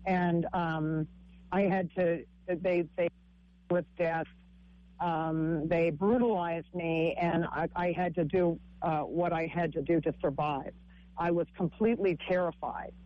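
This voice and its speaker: A woman with a husky voice, a comic and author telling her story haltingly in a radio interview, with frequent restarts. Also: fairly even intonation, no echo, highs cut off. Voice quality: husky, low-sounding voice. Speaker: guest author and stand-up comic.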